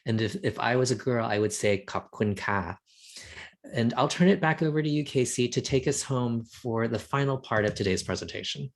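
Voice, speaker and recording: A man's voice: medium pitch, expressive, moderate pace. A man whose first language is Thai, giving an educational talk to students in a plain, explaining way. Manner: explains plainly, addresses young listeners. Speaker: guest presenter.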